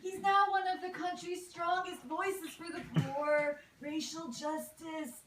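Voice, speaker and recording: A woman's voice: very high voice, flat delivery, slow pace, a bit bright, a little hiss or hum, exaggerated voice. A woman voicing an earnest, sentimental parent in a comic puppet show. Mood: earnest, wistful. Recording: faint distant mic, echoey room.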